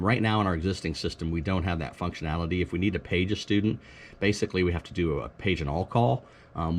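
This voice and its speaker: Deep-voiced man explaining a device demo. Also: a little hiss or hum. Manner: explanatory, matter-of-fact. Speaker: school technology staff presenter. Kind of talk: informal product demonstration narration.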